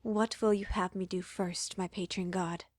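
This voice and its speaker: An actress playing a subservient, eager cultist follower of a necromancer god, speaking deferentially. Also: female voice, mid-range voice, fairly even intonation, moderate pace, darkish tone, dry recording, studio quality, close to an everyday voice. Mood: servile.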